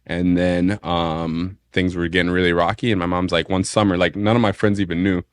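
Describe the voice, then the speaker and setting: A man's voice: sits low, little pitch movement, fairly quick, clear, resonant tone, no room sound, studio quality. A young man from St. Maarten with an American accent, a DJ talking casually as an interview guest, rambling with plenty of fillers. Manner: laid-back, rambling, lots of fillers.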